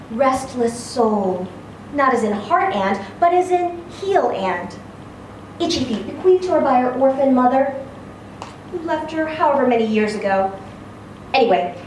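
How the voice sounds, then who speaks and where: A woman giving a live dramatic reading of a literary story, phrasing it expressively and deliberately with pauses. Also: on the high side, a little mellow. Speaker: story reader. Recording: very echoey room, distant mic, faint noise.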